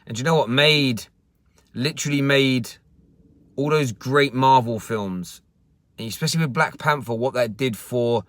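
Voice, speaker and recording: A man's voice: mid-range voice, fairly bright tone, no echo. A young man hosting a football talk stream from his parked car, chatting casually, often repeating words and restarting sentences as he answers viewer comments. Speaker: football channel host. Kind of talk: casual live stream chat.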